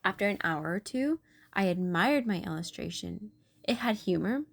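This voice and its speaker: A woman's voice: mid-range voice, normal speed, vocal fry. Woman voicing an anxious, self-doubting storybook cool bean. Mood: inspired, hopeful. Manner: dramatic, animated, sighing. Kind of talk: expressive children's storybook read-aloud.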